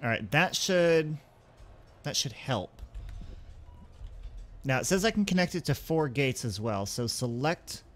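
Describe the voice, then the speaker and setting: A man's voice: medium pitch, expressive, measured pace, pauses often, fairly bright tone, faint music behind. A man gives casual let's-play commentary as a gaming host, thinking aloud and talking through each step.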